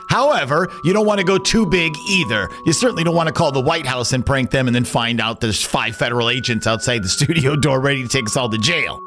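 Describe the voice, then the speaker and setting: Animated male radio storyteller over music. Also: mid-range voice. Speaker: podcast host, radio morning show host. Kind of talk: storytelling narration. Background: background music.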